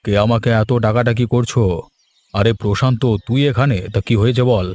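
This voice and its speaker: A man performs an old village elder in a cartoon moral tale, pitched well down. He is genial and familiar, fond of teasing younger folk. Faint music plays behind him. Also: steady tone, moderate pace, a little mellow, lightly acted. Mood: genial, inquisitive. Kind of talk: Bengali cartoon story voice acting.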